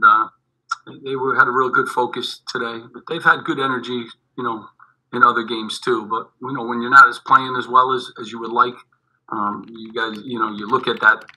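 A middle-aged man, a head basketball coach, answering postgame questions over a video call, halting and repeating his words, with many fillers. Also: mid-range voice, pushed and bright, low-quality recording. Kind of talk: postgame press conference answers. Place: indoors in front of a team backdrop. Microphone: video call webcam.

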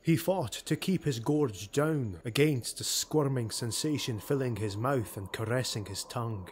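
A man narrating a dark fantasy audiobook in a measured, dramatic storytelling manner. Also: medium pitch, slight background noise.

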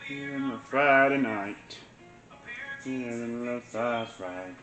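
A man's voice: mid-range voice, very slow, pushed and bright. A young man vlogging while he cooks in a small home kitchen, mumbling asides and humming along, with the camera propped on the counter. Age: young adult. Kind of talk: casual cooking vlog. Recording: music playing behind. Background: music playing.

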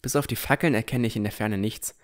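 A man's voice: on the low side, quick pace. A man narrating a fantasy audio drama in the first person from a script.

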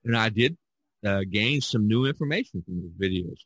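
An American man hosting a podcast in a conversational, halting and hesitant way. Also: fairly low pitch, expressive, fairly bright tone, slightly rough, no echo. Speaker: podcast host.

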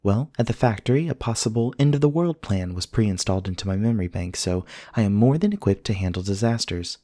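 A man plays a courteous male android companion in an audio roleplay. His voice sits fairly low and is recorded close and dry. He speaks with formal, careful precision, earnest and literal. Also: expressive, warm tone, dry recording. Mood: matter-of-fact. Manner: formal, measured, precise diction. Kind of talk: scripted ASMR roleplay acting.

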